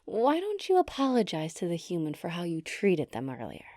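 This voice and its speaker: A woman playing a kind, nurturing giantess with a gentle, coaxing, teasing manner in an ASMR roleplay. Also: fairly low pitch, huge pitch swings, normal speed, dry recording, clean studio sound. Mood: coaxing, chiding.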